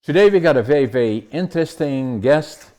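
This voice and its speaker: A man's voice: medium pitch, expressive, brisk, warm tone. A man hosting an interview podcast, chatting casually and often restarting his questions as he goes. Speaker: podcast host.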